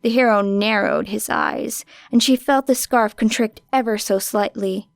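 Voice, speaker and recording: A woman's voice: on the high side, steady tone, unhurried, no room sound, clean studio sound. A woman reading a story expressively as an audiobook narrator. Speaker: audiobook reader. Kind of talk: expressive scripted fiction narration.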